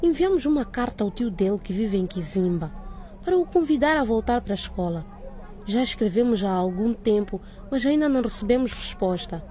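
A woman's voice: a little high, animated, a little fast, warm tone, lightly acted. A woman playing a firm, inquisitive middle-aged school director in a radio drama, authoritative and probing. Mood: questioning.

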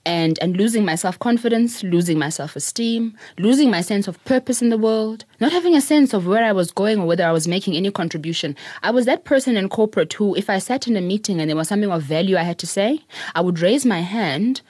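A middle-aged South African woman, a public speaker, telling her story in an interview in an earnest, reflective way, with soft laughs. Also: mid-range voice, expressive, fast talker, no room sound, clean studio sound. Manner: earnest, repeats words, laughs softly while telling stories.

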